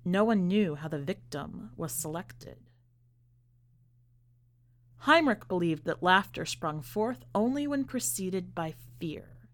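A woman narrating a scripted literary short story in a measured, deliberate way, with dramatic pauses. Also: lowish voice, expressive. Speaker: podcast host and story reader.

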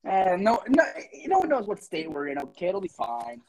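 A young man hosting a casual podcast among friends, talking fast with a stutter and plenty of swearing. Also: high-pitched, very animated, gravelly, mellow, rough recording.

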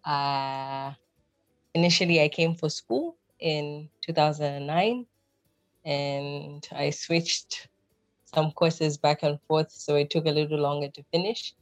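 A woman's voice: low-pitched, fairly even intonation, slow pace, no room sound, faint music behind. A woman from Zambia in her thirties, a podcast guest, explaining her background and ideas thoughtfully with frequent fillers. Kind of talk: conversational interview answers.

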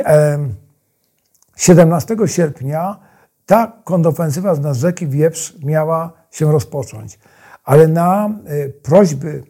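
A man tells history in a narrative, explanatory way. His voice is husky and soft-edged, set a little higher than most men's, and he repeats phrases for emphasis. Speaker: historian guest.

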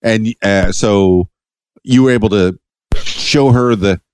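A man's voice: on the low side, slow, smooth, no room sound, soft background music. A man from Miami hosting a podcast, asking rambling, playful questions with many stammers and restarts. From Miami, USA. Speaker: podcast host. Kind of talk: casual interview.

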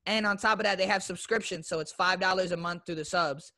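A man's voice: high-pitched, fast, bright, forceful tone, no echo, clean studio sound. A young man from Florida, a guest YouTuber, talking in a loose, slangy way with lots of fillers. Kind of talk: casual interview chat.

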